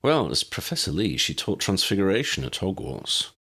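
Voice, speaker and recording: A calm, practical young wizard leader in a fantasy audiobook, read by a man. The voice is husky and gravelly, with measured, matter-of-fact phrasing. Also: low voice, exaggerated voice. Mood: watchful. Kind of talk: audiobook character dialogue.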